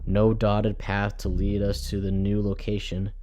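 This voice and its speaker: A man reads a scripted first-person horror story in a measured, dramatic storytelling manner. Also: fairly low pitch, completely flat delivery, warm tone. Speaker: storyteller, narrator. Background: music.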